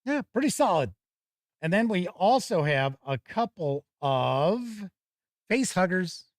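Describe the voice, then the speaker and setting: A man's voice: sits high, sing-song, slow, dry recording, clean studio sound. A man hosting a tabletop gaming show, giving chatty, casual live commentary as he looks over products and talks with viewers.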